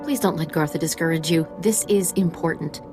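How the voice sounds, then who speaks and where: A woman's voice: sits low, brisk, over music, lightly acted. A woman acting an earnest, idealistic young female scientist in a video game, speaking expressively. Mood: defiant, pleading.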